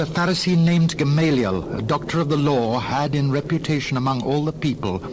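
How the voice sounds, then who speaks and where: A man narrating dramatized scripture in a formal, expressive storytelling manner. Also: fairly high pitch, background noise.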